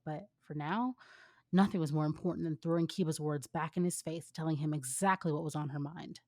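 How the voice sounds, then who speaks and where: A woman's voice: sits low, darkish tone, no room sound. A woman reading fan fiction aloud, narrating expressively and dropping into casual asides.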